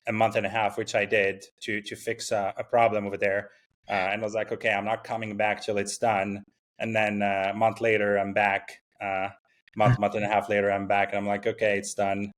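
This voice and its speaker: A founder from Morocco talking casually in an interview, full of fillers and restarts. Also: male voice, fairly low pitch, monotone, fairly bright tone. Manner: halting, many fillers and restarts. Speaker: startup founder, guest.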